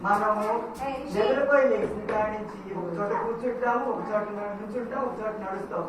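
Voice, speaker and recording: A woman hosting a children's puppet show as a Bible teacher, instructing and gently scolding. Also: medium pitch, a little fast. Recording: echoey hall from far off, noisy.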